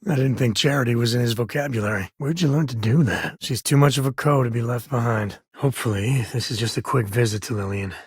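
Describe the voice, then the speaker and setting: An actor voicing an easygoing space-western ranger and devoted father in a video game, with a husky voice and a folksy, wry, conversational manner. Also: medium pitch, quick pace, hardly any pauses, no room sound, clean studio sound. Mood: wry, reflective. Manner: folksy, wry, casual drawl of phrasing.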